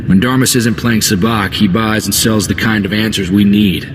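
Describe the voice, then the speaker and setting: An actor playing an earnest, plain-spoken young gunslinger sidekick in a video game, with a folksy, informal manner. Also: male voice, on the low side, fairly even intonation, normal speed, big-room sound, slight background noise, close to an everyday voice. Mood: friendly, eager.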